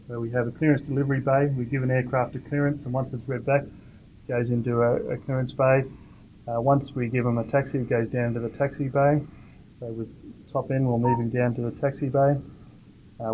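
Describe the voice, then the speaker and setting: A middle-aged man giving a matter-of-fact, informal technical demonstration, with hesitant pauses. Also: medium pitch. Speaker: air traffic tower supervisor. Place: indoors, glass-walled control tower cab. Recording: dull sound, faint room noise.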